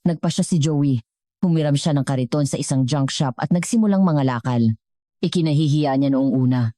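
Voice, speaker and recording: A woman's voice: low voice, fairly quick, mellow, no room sound, studio quality. A woman narrating a scripted dramatic story as a storyteller.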